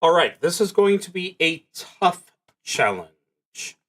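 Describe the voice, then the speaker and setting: A man narrating a solo tabletop game on a livestream, thinking aloud in a casual, halting way with pauses mid-sentence. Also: high-pitched, sing-song, a bit bright. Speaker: solo tabletop roleplaying streamer.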